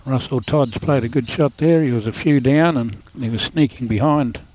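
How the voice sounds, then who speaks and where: Dry-humoured Australian bowls commentator. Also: male voice, mid-range voice, normal speed, a little mellow, rough recording. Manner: dry, self-deprecating humour. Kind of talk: relaxed live sports commentary.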